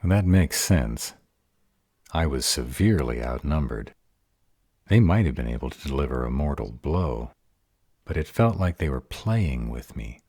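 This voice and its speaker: A man playing an arrogant, protective vampire lover in a roleplay audio drama, husky and deliberate. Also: expressive, darkish tone, no echo, studio quality, heavily acted. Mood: puzzled, serious. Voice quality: husky, very deep voice. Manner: haughty, deliberate delivery.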